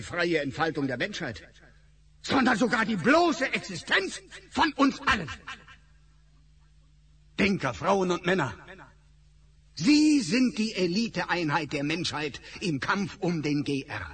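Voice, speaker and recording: An actor playing an official announcer giving a solemn, declamatory rallying speech in a radio play. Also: male voice, fairly high pitch, big pitch swings, measured pace, long pauses, a bit bright, husky, full character voice. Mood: rousing. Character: male government announcer making rousing propaganda address.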